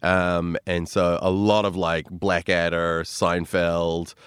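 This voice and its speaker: A man's voice: deep voice, little pitch movement, slow pace, a bit bright, clear, resonant tone, no echo, clean studio sound. A man, a comedian chatting as a podcast guest, rambling and self-deprecating with lots of fillers. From New Zealand. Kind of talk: casual interview chat.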